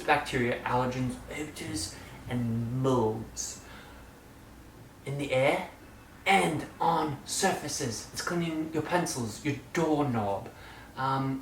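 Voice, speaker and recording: Playful man vlogging in an echoey room. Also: medium pitch. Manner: playful, theatrical, jumps between loud and quiet; slips into a put-on British-style accent at times. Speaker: channel host. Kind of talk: casual vlog update. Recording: roomy, distant mic, faint room noise.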